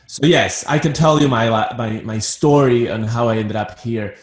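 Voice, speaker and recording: A man's voice: medium pitch, moderate pace, reverberant. A man from Ecuador who works as a psychiatrist, talking as an interview guest and often repeating words as he starts a sentence. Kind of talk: interview conversation.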